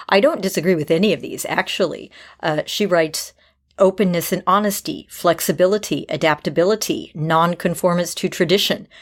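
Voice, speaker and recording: Low-pitched woman co-hosting a podcast. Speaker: podcast host. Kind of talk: casual podcast co-hosting, chat and advice.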